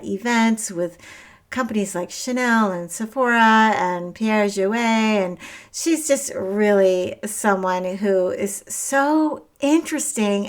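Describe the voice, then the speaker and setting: Bubbly woman hosting an interview show. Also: mid-range voice. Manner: bubbly, enthusiastic, gushing. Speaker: podcast host. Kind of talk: interview show intro and chat.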